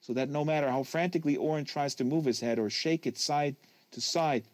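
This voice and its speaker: A man's voice: a little high, fairly quick, dry recording. A man reading a literary novel aloud in a live reading, leaning on phrases for emphasis.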